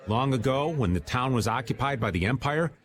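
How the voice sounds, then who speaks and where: An American actor playing a sly, jokey older rogue in an anime game dub, with a folksy, teasing, theatrical manner. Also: male voice, mid-range voice, lively intonation, a little fast, natural voice. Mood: sly, breezy.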